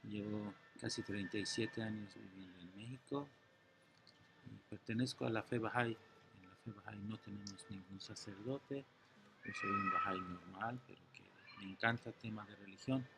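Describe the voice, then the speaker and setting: A man's voice: lowish voice, steady tone, normal speed, creaky, heavy background noise. A middle-aged man from Iran, answering interview questions in an earnest, teacherly way and patiently explaining ideas step by step, with occasional restarts.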